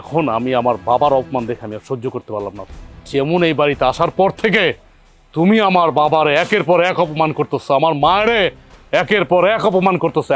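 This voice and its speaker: A man's voice: a little high, lightly acted. An actor playing a young husband who angrily confronts his wife and her sister for insulting his father. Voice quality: shouting, indignant. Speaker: actor in a family drama.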